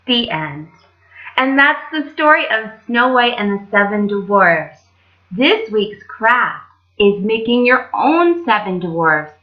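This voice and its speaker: High, animated woman storyteller. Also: slow, dull audio. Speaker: library children's storyteller. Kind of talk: animated children's storytelling, casual.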